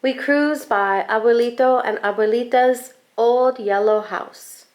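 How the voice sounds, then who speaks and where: Woman reading a picture book, slow and deliberate. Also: a little high. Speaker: school staff storybook reader. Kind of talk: expressive children's picture book read-aloud.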